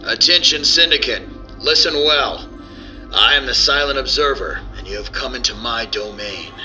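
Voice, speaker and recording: A man's voice: medium pitch, normal speed, bright, forceful tone, faint music behind. A young man playing a commanding, calculating mastermind in an old-time radio drama. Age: young adult. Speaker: student actor. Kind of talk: 1940s radio drama acting.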